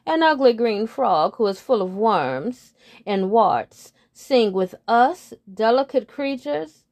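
A woman voicing a snobbish, haughty head bird with a pompous, drawn-out way of speaking. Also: fairly high pitch, expressive, a little mellow. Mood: scornful.

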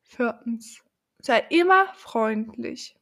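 A woman hosting a podcast, talking slowly and thoughtfully to the listener, her voice deepened by a cold. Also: animated, vocal fry, a bit bright. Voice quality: lower than usual from a cold. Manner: slow, thoughtful, addresses the listener directly. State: has a cold. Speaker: podcast host. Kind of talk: reflective podcast monologue.

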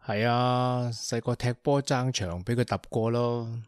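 A chatty young man who teases his friends, acted by a man, with a Hong Kong Cantonese accent. His voice is mid-pitched and dry, recorded close. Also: fairly even intonation, measured pace, clear, resonant tone, no room sound, close to an everyday voice. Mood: teasing. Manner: joking, talkative, teasing. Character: chatty teasing young man, office worker. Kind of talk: Cantonese radio drama acting.

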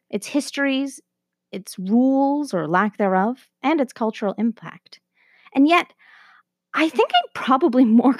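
A woman's voice: on the high side, no echo, clean studio sound. A woman, around her thirties, narrating a scripted podcast as its host in a wry, self-deprecating way.

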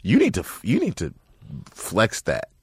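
A man hosting a casual comedy podcast, animated and joking, swearing freely. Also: on the low side, moderate pace, breathy edge, no room sound, clean studio sound. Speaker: podcast host.

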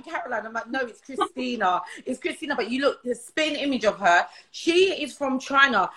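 A woman's voice: fairly high pitch, sharp tone. A woman hosting a casual interview over a video call, effusive and repeating herself as she praises her guest. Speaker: interview host. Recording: echoey room.

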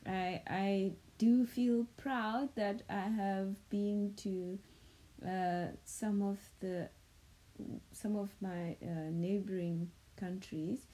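A woman's voice: medium pitch, fairly even intonation, very slow, dark tone, rough recording. A woman from South Africa whose first language is Sepedi, co-hosting a casual podcast chat and talking hesitantly, with many fillers and restarts. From South Africa (Limpopo). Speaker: podcast co-host.

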